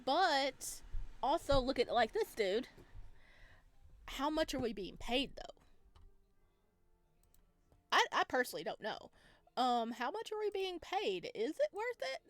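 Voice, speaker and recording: A woman hosting a gaming video, giving casual, playful and expressive let's play commentary as she reacts aloud. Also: high voice, a little slow, long pauses, dry recording.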